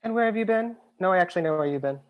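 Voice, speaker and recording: An actor playing a nosy, sassy best-friend roommate in a screenplay table read, blunt and campy. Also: male voice, high voice, lively intonation, racing, dry recording. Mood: arch, prying.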